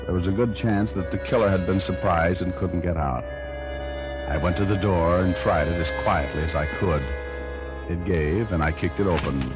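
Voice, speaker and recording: An actor playing a cool, cocky private detective in a vintage radio noir, sardonic and clipped. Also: male voice, low voice, normal speed, close to an everyday voice. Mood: wry narration. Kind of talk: 1940s radio noir acting and narration. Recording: old radio recording with loud music.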